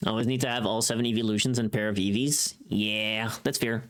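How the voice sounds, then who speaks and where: A streamer chatting casually and playfully on a livestream, thinking aloud in an expressive way. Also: medium pitch, brisk. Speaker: livestreamer and voice actor making a voice pack.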